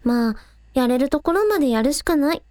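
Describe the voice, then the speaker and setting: A woman's voice: sits high, lively intonation, fairly quick, a little mellow. A woman playing a plucky, practical young village woman in an amateur radio drama, talking casually and matter-of-factly. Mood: pragmatic, resigned.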